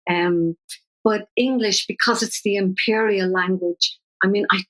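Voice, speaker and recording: An older Irish woman speaks at an ordinary middle pitch, thinking aloud and restarting her sentences. When she reads her poems she slows right down and weighs each line. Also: steady tone, fairly bright tone, rough recording. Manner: thoughtful, searching, frequent restarts; slow measured poetry reading. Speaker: poet. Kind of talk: conversational interview with poetry reading.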